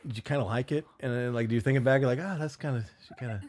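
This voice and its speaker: A man's voice: medium pitch, very fast, a little mellow. A man hosting a podcast in informal, bantering chat, riffing and swearing freely. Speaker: podcast host.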